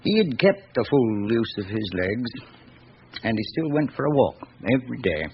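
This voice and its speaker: An actor playing a genial, worldly seventy-seven-year-old lawyer in a classic radio drama, musing and reminiscing urbanely with thoughtful hums. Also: male voice, mid-range voice, big pitch swings. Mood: musing, wry. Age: older. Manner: musing, discursive, urbane, with reflective hums and pauses. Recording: dull, hissy old radio recording.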